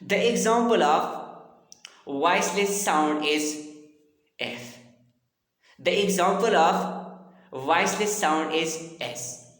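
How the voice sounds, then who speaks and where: Very slow-talking male lecturer, echoey room. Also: fairly high pitch, fairly bright tone. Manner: deliberate, repetitive teaching with formal address to listeners. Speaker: linguistics lecturer. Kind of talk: explanatory phonetics lesson. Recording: echoey room with long reverb.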